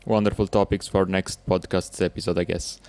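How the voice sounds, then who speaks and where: A man's voice: sits low, little pitch movement, brisk, a little mellow. Earnest young male podcast host. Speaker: podcast host, PhD student. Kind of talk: scripted podcast intro then conversation.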